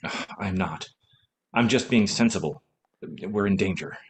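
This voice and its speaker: A man's voice: sits low, a little slow. A man playing a wry, teasing husband and witty sleuth in an old-time radio mystery, with a dry, playful manner. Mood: wary.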